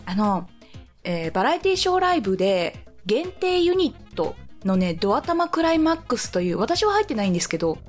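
A woman co-hosts a radio show in a fairly high voice. She chats casually and passes on announcements in a conversational way, with music underneath. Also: big pitch swings, fairly quick.

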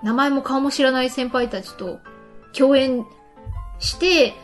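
High voiced lady hosting a chatty radio show, bit of music underneath. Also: slow pace. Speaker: radio show host reading listener messages. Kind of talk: casual radio talk. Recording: light music behind the voice. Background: background music.

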